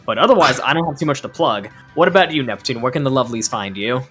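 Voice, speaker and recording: A man's voice: medium pitch, lively intonation, quick pace, a bit bright, faint music behind. A man giving analytical esports commentary in a tired, worn-out voice, full of "like" and "I mean". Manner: analytical, filler-heavy with "like" and "I mean".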